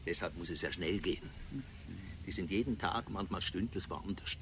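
An actor playing an elegant older gentleman in a radio play, a businesslike organizer giving formal, matter-of-fact instructions. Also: deep voice, moderate pace. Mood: businesslike, urgent. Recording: clear outdoor ambient noise.

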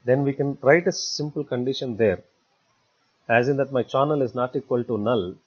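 A man narrating a step-by-step software tutorial in a halting way, repeating words as he goes. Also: medium pitch, husky. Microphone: voice-over recorded for screen capture. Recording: dull, muffled lo-fi voice recording.

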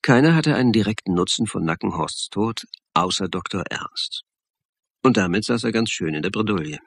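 Wry detective guy telling his case, dry and sardonic, creaky voice, close dead studio sound. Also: lowish voice, expressive, moderate pace, dry recording, exaggerated voice. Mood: reflective, dry. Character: wry, reflective male police investigator narrating his case. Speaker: narrator. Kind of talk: first-person crime radio play narration.

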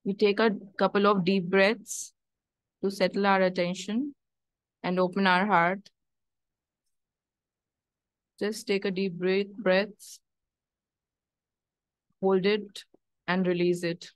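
A woman leading a guided meditation, giving gentle instructions and prayers in measured short phrases with long pauses. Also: medium pitch, little pitch movement, no echo, poor audio.

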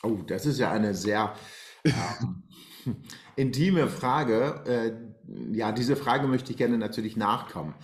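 A man's voice: fairly low pitch, animated, takes it slowly. A man in his forties from Iran, a guest telling his life story on a video call, talking hesitantly with many fillers. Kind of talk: personal life story in interview. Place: bright room at home, indoors. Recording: roomy echo.

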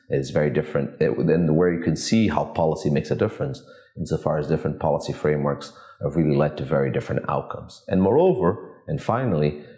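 A man in his forties from Portugal, an economics professor giving measured, academic interview answers in an office. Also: rumbling low, darkish tone, roomy sound. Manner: measured, academic, thinks aloud with restarts. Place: office with glass walls, indoors.

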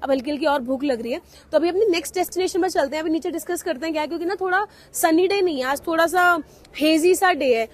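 High-voiced woman vlogging in Hindi-English mix. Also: fast talker. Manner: chatty, mixes English phrases into Hindi. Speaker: travel vlogger. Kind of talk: casual travel vlog talk to camera. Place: outdoors at a mountain cable car station. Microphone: handheld camera at arm's length.

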